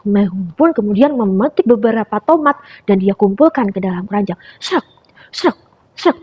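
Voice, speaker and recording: Young woman reading a kids story, voice jumping all over, kinda breathy, muffled lo-fi sound. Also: mid-range voice, normal speed. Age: young adult. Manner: animated, calls listeners "friends". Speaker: storyteller for preschool children. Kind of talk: children's storytelling. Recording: dull lo-fi recording.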